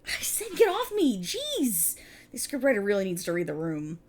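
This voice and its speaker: A woman's voice: fairly low pitch, lively intonation, quick pace, darkish tone, a little hiss or hum. A woman playing a prickly, exasperated police officer in a scripted ASMR roleplay, talking in a wry, bossy, explanatory way to an unheard partner. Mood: irritated, relieved. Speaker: voice actor in audio roleplay. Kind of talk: scripted ASMR roleplay, one-sided dialogue.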